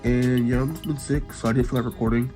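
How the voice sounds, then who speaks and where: Young man, mid-range voice, relaxed slangy chatter, lots of fillers, faint music underneath. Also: medium pitch, quick pace. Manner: laid-back, slangy, rambling with fillers. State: congested with a sore throat. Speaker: day trader vlogger. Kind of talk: casual vlog chat.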